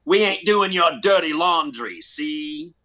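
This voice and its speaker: A man's voice: a little high, lively intonation, takes it slowly, sharp tone, heavily acted. A man playing a tough, slangy gangster who blusters indignantly in an old-time radio detective drama. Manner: streetwise hardboiled slang, exclamatory.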